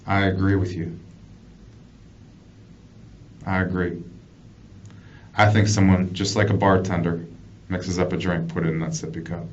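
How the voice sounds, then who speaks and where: A man's voice: low-pitched, level tone, a little slow, pauses often, darkish tone, recorded from a distance. A man working as a police detective, conducting an interrogation with blunt, persistent, repeated questions. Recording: muffled lo-fi interview recording, clear background noise.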